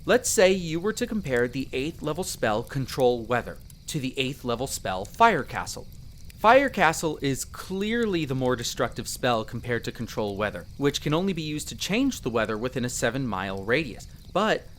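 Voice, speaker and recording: Man playing lively, friendly young elf explorer. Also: medium pitch, moderate pace, a little hiss or hum. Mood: earnest, explanatory. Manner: chatty, patiently explanatory, playful. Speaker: character in a roleplay audio drama. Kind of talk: in-character conversational roleplay addressed to the listener.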